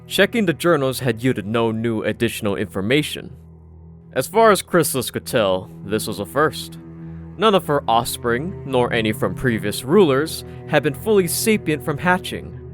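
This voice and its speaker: A man reads a scripted story as an audiobook narrator. His voice sits at an average male pitch. Music plays clearly behind him throughout. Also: big pitch swings, normal speed. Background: background music.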